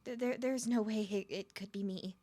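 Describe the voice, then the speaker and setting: A woman's voice: mid-range voice, fairly even intonation, moderate pace, dark tone, close to an everyday voice. A woman playing a nervous, smitten young woman on a first date in an ASMR roleplay, stuttering and rambling with flustered giggles. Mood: shy, earnest.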